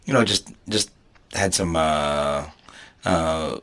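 A man co-hosting a casual podcast chat, hoarse from losing his voice, talking haltingly and laughing often. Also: very deep voice, a bit bright. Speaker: podcast co-host.

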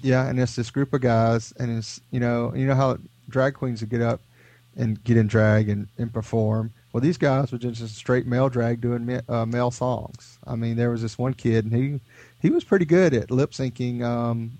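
A man's voice: mid-range voice, steady tone, normal speed, mellow, dry recording. A man co-hosting a podcast, sick with a head cold, telling stories in a rambling, laid-back way. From Houston area. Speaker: podcast co-host. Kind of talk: casual chat.

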